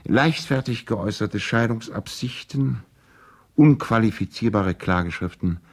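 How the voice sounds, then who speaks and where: An actor playing a courteous, idealistic male lawyer in a radio play, earnest and gently ironic, speaking in a formal, measured, eloquent way. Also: deep voice. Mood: polite irony. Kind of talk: radio drama letter monologue.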